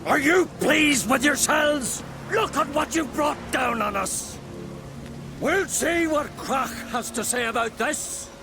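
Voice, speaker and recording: An actor playing a pompous, indignant older druid scholar in a video game, with a theatrical, scolding manner. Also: male voice, extremely high pitch, slow pace, vocal fry, exaggerated voice. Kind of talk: video game cutscene acting. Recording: music and effects behind.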